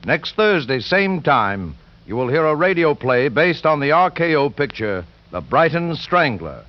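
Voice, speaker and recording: A man's voice: on the high side, big pitch swings, unhurried. A man announcing a 1940s radio show in a formal, dramatic scripted style. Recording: dull 1940s radio broadcast, faint music.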